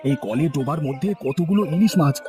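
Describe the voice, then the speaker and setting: A man's voice: a little high, lively intonation, quick pace, music in the background, natural voice. A man playing an earnest, plain-spoken young street vendor and devoted son in a children's cartoon. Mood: excited.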